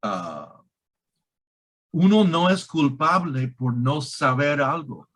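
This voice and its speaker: A man with a foreign accent who is not a native Spanish speaker, explaining as a guest expert in a halting, emphatic way with grammatical slips. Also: a little high, very animated, a bit bright. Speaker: guest expert on online scams. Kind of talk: explanatory conversation.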